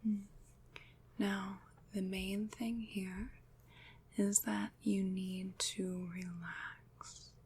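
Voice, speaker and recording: A woman playing a gentle, soothing witch in an ASMR roleplay, whispering softly and breathily with tender, formal, old-fashioned phrasing. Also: medium pitch, little pitch movement, drawn-out words, slightly rough, full character voice. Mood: reassuring, tender. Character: gentle, soothing female witch, caring and slightly mysterious.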